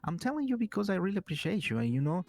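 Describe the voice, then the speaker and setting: Ecuadorian man, Spanish native, gravelly, halting English, calling in to a casual chat. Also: sits high, big pitch swings, a little mellow. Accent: Ecuadorian Spanish, from the highlands. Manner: halting, searches for words. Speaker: caller.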